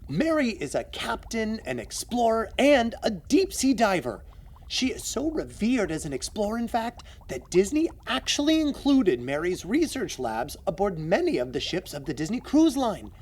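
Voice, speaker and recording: A man's voice: high voice, wildly swooping pitch, moderate pace, darkish tone, exaggerated voice. A man acting as a confident, knowledgeable guide in an audio adventure drama, explaining things with emphatic storytelling. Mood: proud storytelling. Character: knowledgeable male guide and secret society insider, eager and confident.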